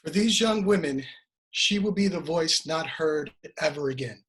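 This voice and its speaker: A man's voice: on the high side, moderate pace, a bit bright, low-quality recording. A man reading a memorial piece as an actor, in a solemn, rhetorical, dramatic style.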